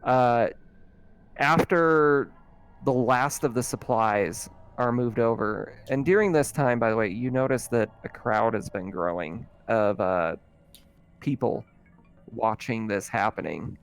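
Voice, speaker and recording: Casual male game master, mid-pitched. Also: slow pace, clear, resonant tone, soft background music. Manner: informal, conversational. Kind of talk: casual improvised tabletop game narration.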